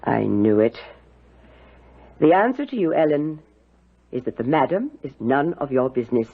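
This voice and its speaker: An actress playing a stern, secretive housekeeper aunt, brusque and commanding, in a 1970s radio drama. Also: female voice, very deep voice, big pitch swings, moderate pace, husky, heavily acted. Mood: curt, guarded. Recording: muffled old radio broadcast.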